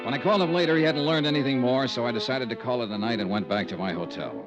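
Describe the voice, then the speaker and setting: An actor playing a sardonic, probing insurance investigator in a 1950s radio detective drama, wry and clipped. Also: male voice, mid-range voice, quick pace, over music, natural voice. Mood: wry narrating. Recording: muffled old radio recording.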